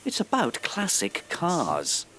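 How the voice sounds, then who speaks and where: An actor playing a grumpy, snooty traveller in a comedy audio play, dry, curt and pedantic. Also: male voice, a little high, huge pitch swings, takes it slowly, a bit bright, slight background noise, full character voice. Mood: irritated, sarcastic.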